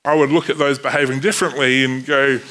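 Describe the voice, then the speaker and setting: Kiwi man in his thirties, bright, forceful voice, earnest sermon with light self-mockery, faint hiss. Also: medium pitch, little pitch movement, fast, low-quality recording. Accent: New Zealand. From New Zealand. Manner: earnest, self-deprecating asides. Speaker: preacher.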